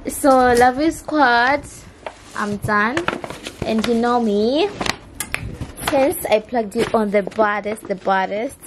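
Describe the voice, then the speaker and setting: A young woman from Kenya with a Kenyan accent, chatting to camera in a casual vlog, hoarse with a sore throat and switching between English and Swahili. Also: on the high side, takes it slowly, noisy background. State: hoarse, losing her voice. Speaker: vlogger. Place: indoors. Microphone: camera mic held close.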